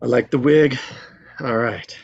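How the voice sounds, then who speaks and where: A man's voice: medium pitch, steady tone, a little slow, vocal fry, a little mellow, a little hiss or hum. Polite man, slightly muffled call audio. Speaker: table read reader. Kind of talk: casual group chat. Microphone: online group call.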